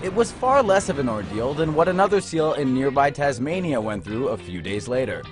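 A man's voice: medium pitch, big pitch swings, fairly quick, over music. A man reading scripted narration for light news stories in a playful, storytelling way.

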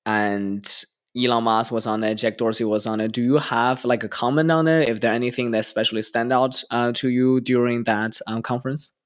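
A man's voice: mid-range voice, fairly even intonation, a little fast, few pauses. Young male host, eager, many affirmations, podcast mic on a video call. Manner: eager, frequent affirmations, rambling questions. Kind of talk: casual interview conversation. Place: home room, indoors. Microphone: podcast microphone on video call.

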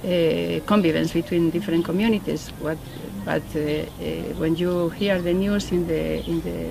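A middle-aged native Spanish speaker, a woman with a Spanish accent, speaking halting English in a casual group interview and searching for words. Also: fairly low pitch, fairly even intonation, vocal fry, noisy background. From Spain.

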